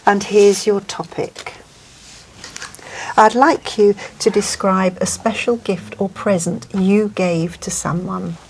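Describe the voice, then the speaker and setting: A woman examiner asking scripted questions in a measured, formal, polite way. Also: mid-range voice, steady tone, a little mellow, a little hiss or hum.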